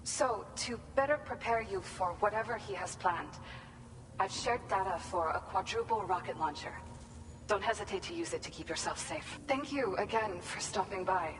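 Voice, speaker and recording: A woman's voice: medium pitch, normal speed, close to an everyday voice. A woman playing an earnest, grateful researcher in a game cutscene, talking in a heartfelt, chatty way. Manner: earnest, warm-hearted, talkative. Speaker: researcher at a facility. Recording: noisy.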